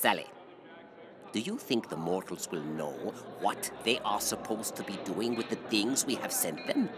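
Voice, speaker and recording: A woman's voice: rumbling low, lively intonation, measured pace, crowd noise. A woman playing a grave, worried, formal ghost in an audio drama. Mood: grave concern. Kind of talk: audio drama character acting.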